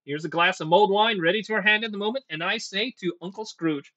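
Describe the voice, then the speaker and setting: A man voicing a jolly, good-humoured young gentleman in a storybook reading, hearty and emphatic. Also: high voice, fast, no room sound, muffled recording. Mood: merry toasting.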